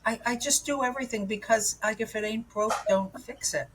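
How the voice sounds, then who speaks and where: A woman's voice: fairly high pitch, fairly quick, slight background noise, poor audio. A woman in her seventies, from New York, telling her own story as an interview guest in a candid, rambling way with lots of fillers and restarts. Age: 70s.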